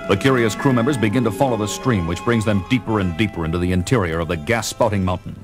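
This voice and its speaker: Dramatic male narrator over loud music. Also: fairly low pitch, quick pace. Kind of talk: dramatic scripted narration for 1970s audio drama.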